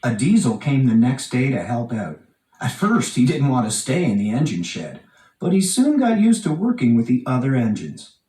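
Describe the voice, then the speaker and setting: A man reading a children's storybook aloud with expressive, dramatic emphasis. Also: medium pitch, moderate pace. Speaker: storybook narrator. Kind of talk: animated read-aloud children's storybook narration. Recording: roomy, echoing, distant from mic.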